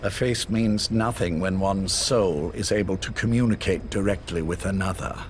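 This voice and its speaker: An actor playing an older, embittered spymaster in a video game cutscene, grave and hushed. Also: male voice, deep voice, moderate pace, close to an everyday voice. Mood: resolute. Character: aging male spymaster, driven and embittered. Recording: game mix with loud background noise.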